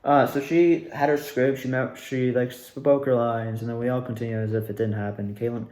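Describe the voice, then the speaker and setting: A man's voice: medium pitch, normal speed. A young man telling a story in a casual self-interview style, acting out the lines he quotes as he goes. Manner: animated retelling, acts out quoted lines. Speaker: amateur actor recounting own performance. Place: indoors at home. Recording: echoey room.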